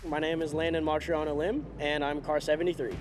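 A man's voice: a little high, flat delivery, a little fast, noisy background. A man in his twenties, a race car driver answering interview questions in an upbeat, enthusiastic way. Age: 20s. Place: outdoors in a race paddock garage. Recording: loud music bed underneath. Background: loud background music.

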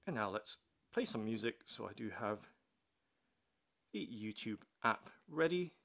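A man with a fairly low, slightly creaky voice reviews a gadget in a casual, unscripted way. He narrates his own actions step by step, indoors at a table. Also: lively intonation, measured pace, fairly bright tone. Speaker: tech unboxing reviewer. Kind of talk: casual unscripted product demonstration.

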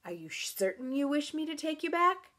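A woman's voice: high voice, very animated, moderate pace, sharp tone, pitched above the performer's own voice, full character voice. A woman playing a sly, commanding supernatural horse in an audiobook story, luring and possessive.

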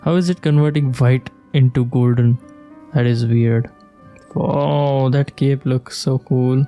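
Male gamer, casual reactive commentary, mid-pitched and mellow, thinking out loud over game music. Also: steady tone, slow. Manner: musing aloud, short reactive remarks. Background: video game music.

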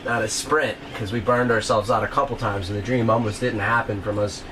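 A man's voice: lowish voice, fairly even intonation, fairly quick. A young man, a boat owner and former jet ski guide, answering questions in an easygoing way inside a small boat cabin. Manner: easygoing, chill. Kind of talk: casual interview answers. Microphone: camera across the table. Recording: distant camera mic with noticeable noise.

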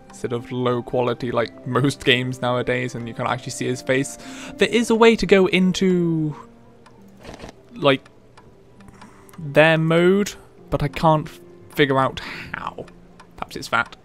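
A man's voice: medium pitch, expressive, measured pace, pauses often. A man gives casual let's play commentary. He takes his time as he talks, with some game music playing behind him. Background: video game music.

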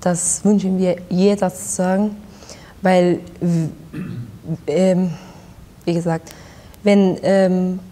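A young woman from Iran, a guest in a studio interview, speaking haltingly and searching for her words. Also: fairly low pitch, flat delivery, warm tone. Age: young adult. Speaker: guest, activist. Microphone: lapel.

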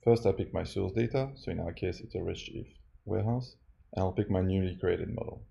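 A man narrating a step-by-step software tutorial as a demo presenter. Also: low-pitched, a little fast, creaky. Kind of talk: scripted tutorial narration over a screen recording.

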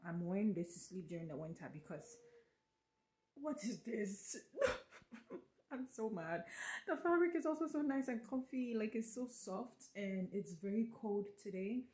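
A woman's voice: medium pitch, roomy sound. Chatty young woman vlogging at home. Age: 20s. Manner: chatty, laughs often, animated asides to viewers. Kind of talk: casual vlog chat. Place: small apartment kitchen and bedroom indoors. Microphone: handheld camera at arm's length.

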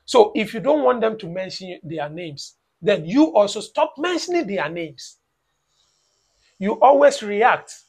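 A Ghanaian man in his thirties delivers a lecturing vlog from a small room at home. His voice sits high with a gravelly edge. He hammers each point with repetition. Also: sing-song, measured pace, a little mellow. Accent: Ghanaian English. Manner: lecturing, emphatic, repeats phrases, mocks with "blah blah". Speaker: YouTube reaction vlogger. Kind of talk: opinion vlog commentary.

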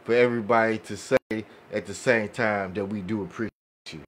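Halting American man in casual chat. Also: fairly low pitch, steady tone. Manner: halting, repeats phrases as he goes. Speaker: podcast panelist. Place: indoor podcast table. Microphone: studio microphone. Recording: noticeable background noise, room ambience.

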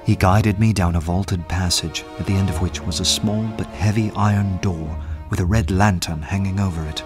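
A measured male narrator played by an actor recalls his adventure in a radio play. His voice is deep and observant. Instrumental music plays clearly behind the narration. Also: fairly even intonation, natural voice. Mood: atmospheric, measured. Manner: measured storytelling. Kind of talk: scripted first-person narration in a radio play.

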